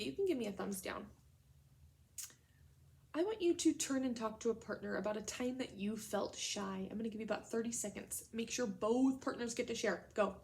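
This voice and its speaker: Female elementary teacher, slightly high voice, guiding a children's read-aloud with clear, simple prompts. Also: a little mellow. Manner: instructional, addresses children with simple questions and prompts.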